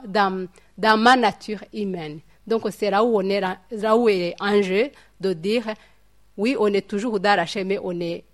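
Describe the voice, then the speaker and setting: A woman from Rwanda leading a Bible study in French. She talks in a hesitant, reflective way, with many fillers and repeated words. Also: mid-range voice. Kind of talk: informal Bible teaching.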